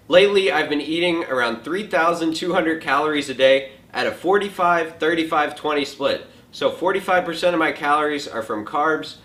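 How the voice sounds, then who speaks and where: A twenty-year-old man hosting a casual question and answer vlog from a home kitchen, relaxed and joking, with lots of filler likes. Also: on the high side. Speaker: fitness vlogger answering viewer questions. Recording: echoey room.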